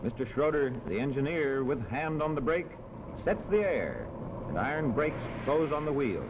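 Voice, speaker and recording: A man narrating a 1940s educational film in a measured, instructional manner, on a muffled old soundtrack. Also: mid-range voice, sing-song, noisy background. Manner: clear, measured, instructional delivery.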